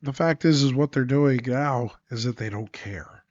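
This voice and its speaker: A man's voice: mid-range voice, moderate pace, darkish tone, dry recording. A congested man hosting a solo podcast, talking in an emphatic, sarcastic monologue full of rhetorical questions. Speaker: podcast host. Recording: dry, dull lo-fi sound.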